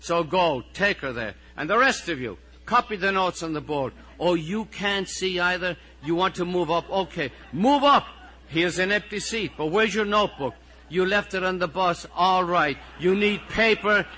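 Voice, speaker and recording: A harried Irish schoolteacher played by a man reading his story aloud pushes his voice high and loud. He is exasperated and pleading, with a bright, forceful edge as he questions his pupils. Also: animated, normal speed, heavily acted. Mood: frantic exasperation.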